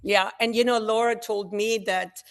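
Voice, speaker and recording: A woman's voice: fairly high pitch, fairly even intonation, moderate pace. A woman with a German accent co-hosting a live skincare stream, upbeat and explaining how skin works. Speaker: co-host.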